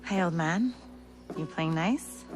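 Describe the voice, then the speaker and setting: Low-voiced, no-nonsense fighter. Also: female voice, animated, slow pace, faint music behind, rough recording. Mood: wry, cool. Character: no-nonsense, wry female resistance fighter. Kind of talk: video game cutscene acting.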